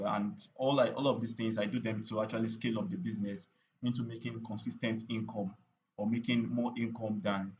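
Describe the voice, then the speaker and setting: A man's voice: on the low side, muffled recording, slight background noise. A man running a live online training on paid ads, chatty and repetitive, with lots of fillers and "right?" tag questions.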